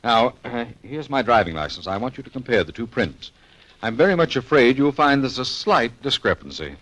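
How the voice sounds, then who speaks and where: Wry radio sleuth, lively delivery. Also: male voice, medium pitch, normal speed, low-quality recording. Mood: ironic, startled. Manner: bantering, ironic, hesitating when flustered. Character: wry, smooth-talking male amateur sleuth in a fix. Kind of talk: old-time radio mystery acting.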